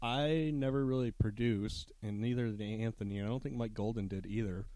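A man's voice: lowish voice, a little fast, mellow, no echo. A man hosting a casual chat with a DJ guest, laid-back and rambling, laughing often. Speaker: podcast host and DJ.

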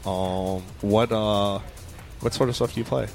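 A man hosting a casual radio interview, asking relaxed questions. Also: on the low side, a little slow, vocal fry. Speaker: radio host. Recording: music playing underneath.

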